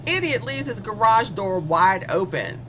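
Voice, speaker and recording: A woman's voice: mid-range voice, big pitch swings, unhurried, background noise. A woman playing a spoiled, bored young female killer in a horror audio drama, confessing with sardonic, casually menacing glee. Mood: gloating, chatty.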